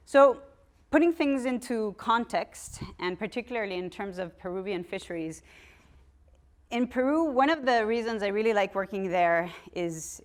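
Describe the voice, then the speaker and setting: A woman from Costa Rica giving a public lecture, talking in a conversational way with frequent ums and restarts. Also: on the low side. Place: lecture room.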